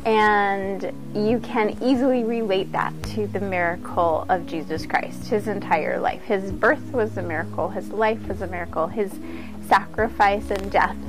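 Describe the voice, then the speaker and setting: A woman's voice: mid-range voice, poor audio. A woman giving an informal instructional talk as a yoga teacher, conversational and earnest.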